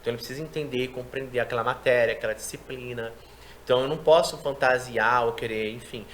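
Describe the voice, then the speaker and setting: Fast-talking man explaining his interpreting work. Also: medium pitch, slight background noise. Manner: quick, explanatory, animated delivery. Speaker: sign language interpreter, guest. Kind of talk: casual interview chat.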